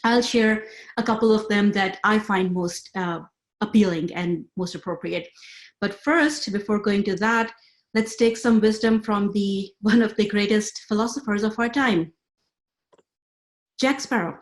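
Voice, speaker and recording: A woman in her thirties, originally from Pakistan, giving a webinar talk over a computer mic. Her delivery is clear and measured, with a lecturing pace and frequent "um"s. The room around her is noticeably echoey. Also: medium pitch. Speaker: webinar speaker.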